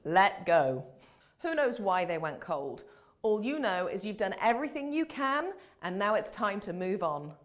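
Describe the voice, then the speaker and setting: A woman's voice: mid-range voice, very animated, moderate pace. A woman hosting a business advice show, giving scripted coaching to camera in a polished, instructive and emphatic way.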